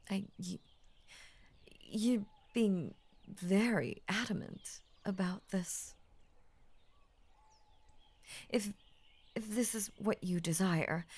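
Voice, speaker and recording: A woman voicing an ancient, possessive and adoring lamia in an intimate roleplay audio, speaking softly in grand formal phrases that often drop to a near-whisper. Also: lowish voice, slightly rough, exaggerated voice. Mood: flustered, reluctant.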